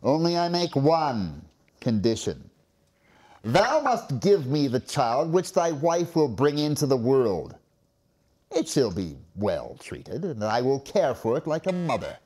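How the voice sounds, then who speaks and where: A man voicing a menacing, commanding fairy-tale enchantress with slow, drawn-out, archaic imperious speech. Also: medium pitch, big pitch swings, a little mellow. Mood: stern, bargaining.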